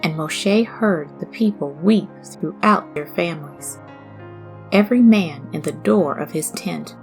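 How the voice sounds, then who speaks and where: Slow-paced woman reading scripture over music. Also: on the low side, vocal fry, darkish tone. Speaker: host and scripture reader. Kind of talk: devotional welcome and expressive scripture reading. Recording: music bed under the voice. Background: background music.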